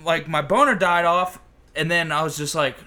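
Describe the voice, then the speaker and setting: A man in his twenties excitably telling a crude story and laughing. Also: a little high, normal speed. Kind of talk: crude casual chat. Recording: loud crowd noise behind.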